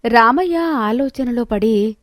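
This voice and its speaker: A woman's voice: fairly high pitch, animated, slow pace, no echo, clean studio sound. A woman narrating a scripted moral story as a storyteller.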